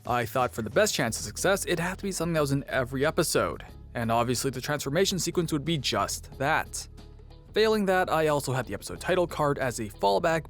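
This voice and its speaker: A man, a native Cantonese speaker, narrating a scripted video essay in a wry, self-deprecating way. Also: on the high side.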